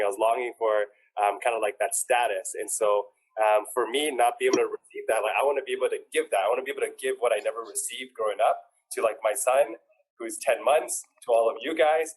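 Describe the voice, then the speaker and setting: A man's voice: mid-range voice, low-quality recording. A young man, a personal trainer, telling a group why he does his work, earnestly and with lots of "like" fillers. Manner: earnest, filler-heavy, frequent "like". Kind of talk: heartfelt personal talk to a group.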